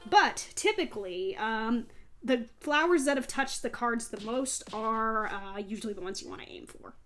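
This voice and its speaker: Female let's play host, higher-pitched voice, chatty and self-deprecating casual commentary over game music. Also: expressive, moderate pace, fairly bright tone. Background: video game music.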